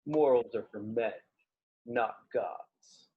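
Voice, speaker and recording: A man's voice: medium pitch, slow pace, lightly acted. A man acting a menacing, arrogant, cold godlike villain in a screenplay table read. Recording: thin phone-like online call.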